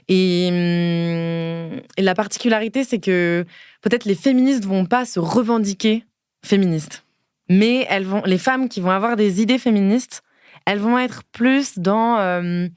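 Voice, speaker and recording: A woman in her late twenties from Ardèche, a podcast guest who talks thoughtfully with many pauses and fillers. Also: medium pitch, clean tone, no room sound, studio quality. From Ardèche, France. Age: late 20s. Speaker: guest, feminist speaker and lawyer. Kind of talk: casual podcast conversation.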